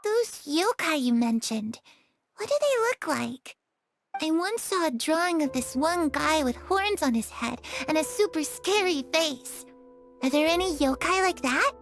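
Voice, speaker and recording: A gentle young woman in a game cutscene, with a very high, light voice. She speaks softly and dreamily and slips easily into playful teasing. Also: lively intonation, measured pace, faint music behind. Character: gentle wistful young woman, lost sister.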